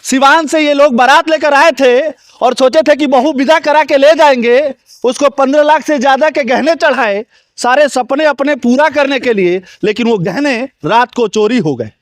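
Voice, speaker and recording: A man's voice: very high voice, moderate pace, dry recording, clean studio sound. A man reporting on location at a wedding lawn, speaking in an urgent, indignant way and questioning the people there. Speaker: local news reporter. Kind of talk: on-the-spot news report with interviews.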